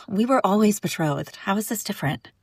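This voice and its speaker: A woman playing a sharp-tongued young princess bride who is secretly lovesick, in an intimate audio roleplay, teasing and indignant with sighs and broken-off phrases. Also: medium pitch, expressive, moderate pace, husky, no room sound, clean studio sound. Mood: frustrated, wounded. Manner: teasing, indignant, sighing, breaking off mid-sentence. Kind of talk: intimate scripted audio roleplay addressed to listener.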